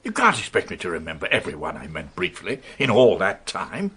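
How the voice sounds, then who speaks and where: An actor playing an evasive, indignant British gentleman under questioning in a radio drama, sardonic and defensive in an articulate way. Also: sits low, huge pitch swings, brisk, breathy edge, heavily acted. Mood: scornful, defensive.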